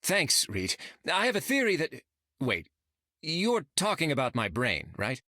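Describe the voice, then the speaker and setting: Bright, animated, wry scientist. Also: male voice, a little high, a little slow, no echo, studio quality, full character voice. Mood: awkward. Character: wry, anxious, brainy male scientist. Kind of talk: video game character dialogue.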